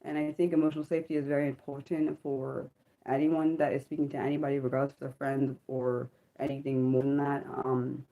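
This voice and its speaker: A woman in her thirties hosting a personal livestream from home. She speaks haltingly, with many ums and apologetic self-corrections, and her voice sounds slightly sick. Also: rumbling low, flat delivery, warm tone, rough recording. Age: 30s. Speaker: livestream host. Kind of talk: personal reflective livestream talk.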